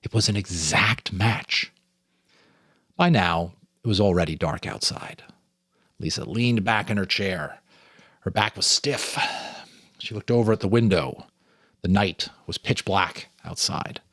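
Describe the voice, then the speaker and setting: A man's voice: low voice, measured pace, long pauses, a bit bright, dry recording, clean studio sound. A man reading a ghost story aloud as a storyteller, with a theatrical, suspenseful delivery. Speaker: storyteller, host.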